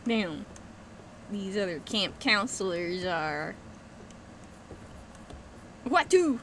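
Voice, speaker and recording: A young woman streamer giving excitable, giggly commentary while playing, on a headset mic. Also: medium pitch, drawn-out words, slight background noise. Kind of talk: casual gameplay commentary.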